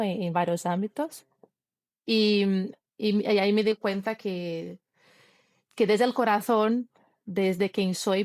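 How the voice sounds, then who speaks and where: Woman, earnest, halting, lots of restarts, mid pitch, dry close sound. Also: no echo. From Brazil. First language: Portuguese. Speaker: guest. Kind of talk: conversational interview, personal story.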